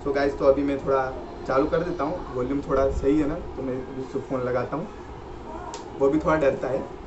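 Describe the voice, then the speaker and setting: A man's voice: mid-range voice, steady tone, normal speed. A young man with an Indian accent chatting casually to camera as a prank video host, recorded indoors in a small room. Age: young adult. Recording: dull, noisy room recording.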